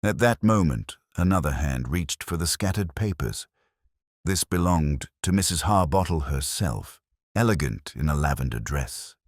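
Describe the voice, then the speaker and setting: Really deep guy reading a mystery story, measured and formal, lively intonation, dry close sound. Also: dry recording. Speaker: narrator. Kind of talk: scripted mystery audiobook narration.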